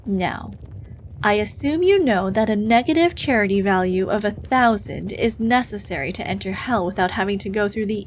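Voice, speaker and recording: Lady acting as a demon office clerk lady doing hell interviews, brisk n condescending, asmr roleplay, mid pitch, bit of noise behind her. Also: rough recording. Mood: businesslike, approving. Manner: officious, dryly condescending, matter-of-fact.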